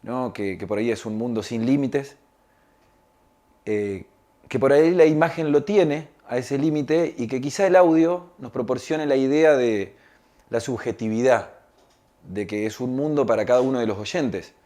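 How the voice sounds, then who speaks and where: Male interviewee, dark relaxed tone, thoughtful and hesitant explanatory delivery. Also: mid-range voice, moderate pace. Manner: hesitant, searching for words, tag questions. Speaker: radio drama maker being interviewed. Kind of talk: reflective explanatory talk.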